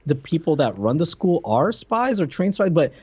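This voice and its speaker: A man's voice: fairly high pitch, sing-song, normal speed, darkish tone, breathy edge, no room sound. A man co-hosting a podcast in casual chat, sounding sarcastic and incredulous. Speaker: podcast co-host. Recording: dry, slightly dull microphone sound.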